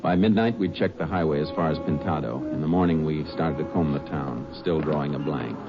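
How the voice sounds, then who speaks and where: A Texas Ranger in an old radio drama. He is American, calm and laconic, with a low voice. The recording is muffled. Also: brisk, over music. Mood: grim, steady. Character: steady, laconic Texas Ranger, lead investigator and narrator. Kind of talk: 1950s radio drama acting.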